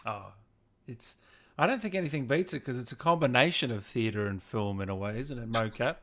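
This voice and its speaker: A man's voice: medium pitch, brisk, fairly bright tone. A man hosting a casual online interview in a friendly, encouraging, conversational way. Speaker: interviewer and show host. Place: remote video call.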